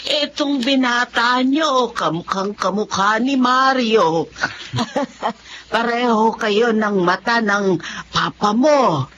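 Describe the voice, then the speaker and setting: A woman's voice: fairly high pitch, fairly bright tone. A woman playing a tender, grateful older aunt in a sentimental audio drama. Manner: slow, affectionate, emotional. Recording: muffled audio with faint background noise.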